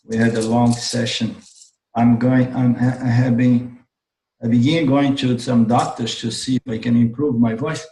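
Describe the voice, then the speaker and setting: A man gives an online philosophy lesson in an unhurried, deliberate way, with a strained voice that keeps needing his throat cleared. He circles back and repeats words as he builds each point. The sound comes through a computer mic in an echoey room. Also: medium pitch, level tone, dark tone. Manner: halting, restarts phrases, repeats words while explaining. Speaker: Vedanta teacher. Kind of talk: online class lecture. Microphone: computer mic on a video call.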